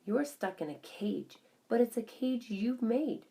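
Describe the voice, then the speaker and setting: A woman's voice: on the high side, lively intonation, unhurried, vocal fry, mellow, pitched above the performer's own voice. A woman voicing a blunt but caring older wife, direct and emphatic, in a children's audiobook. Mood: firm, scolding. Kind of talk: children's audiobook character dialogue.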